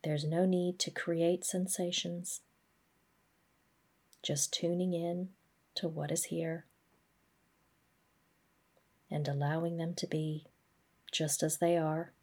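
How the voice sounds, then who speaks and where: A woman gently guiding a body scan meditation, speaking in a measured, instructional way. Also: fairly low pitch, little pitch movement, slightly rough. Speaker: mindfulness practice guide.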